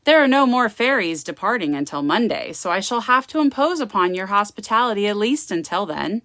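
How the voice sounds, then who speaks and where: A woman's voice: medium pitch, big pitch swings, a little fast, a bit bright, dry recording, voice pushed up. Gothic audiobook role: winning, determined young man, polite but pushy, dry close sound, acted by a female reader. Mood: charming, insistent. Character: charming, cocky, determined young man from university. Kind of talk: gothic romance storybook reading.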